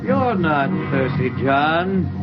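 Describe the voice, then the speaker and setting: An actor playing a menacing Martian disguised as a brother, slow, eerie and drawn out, in 1950s radio drama. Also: male voice, a little high, big pitch swings, heavily acted. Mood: eerie menace. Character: menacing male Martian posing as a kindly brother. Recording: muffled old radio recording, loud music.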